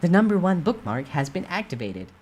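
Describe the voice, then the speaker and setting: Man as higher-pitched game system announcer. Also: very animated, a little fast, slight background noise. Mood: formal announcing. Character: impersonal game system announcer reading out skill notifications.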